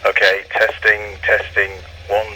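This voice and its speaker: A man's voice: lowish voice, completely flat delivery, measured pace, bright, forceful tone. A man making a test call, heard through the small speaker of a two-way CB radio. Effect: handheld two-way CB radio through a small speaker. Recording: harsh, tinny CB radio speaker with faint noise.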